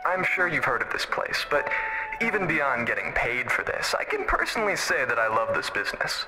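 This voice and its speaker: A man's voice: medium pitch, very animated, brisk, over music, close to an everyday voice, rough recording. An actor playing a smug showman radio host in an audio drama, who uses old slang. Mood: showy.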